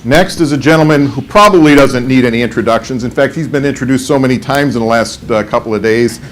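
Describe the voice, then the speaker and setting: A man's voice: mid-range voice, fast talker. A man moderating a conference panel, reading out speaker introductions with some fillers. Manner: formal introductions with frequent fillers. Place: conference room.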